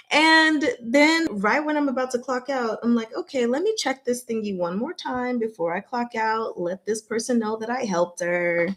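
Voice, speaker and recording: A woman with a fairly high voice hosts a casual livestream. She talks in an animated, scattered way, chatting to viewers and poking fun at herself. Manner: animated, self-deprecating, scattered. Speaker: livestream host.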